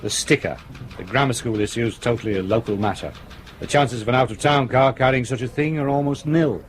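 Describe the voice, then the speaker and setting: An actor playing a patient, probing British police superintendent in a radio crime drama, with measured, courteous questioning. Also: male voice, mid-range voice, close to an everyday voice. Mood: blunt, brisk.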